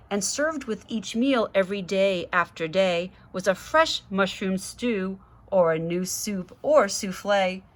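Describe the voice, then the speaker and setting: A woman reading a rhyming children's story aloud expressively, with chatty asides to young listeners. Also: mid-range voice. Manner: rhythmic, dramatic, addressing children. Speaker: guest storybook reader.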